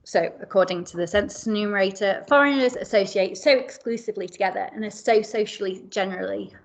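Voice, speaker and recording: A woman academic presenting a seminar paper in a measured way, often pausing with fillers and correcting herself mid-word. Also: medium pitch, vocal fry. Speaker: academic speaker.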